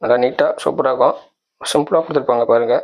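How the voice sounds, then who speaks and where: A man's voice: medium pitch, quick pace, a little mellow. A man giving a casual product walkthrough, explaining step by step and often checking that listeners follow. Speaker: tech channel presenter.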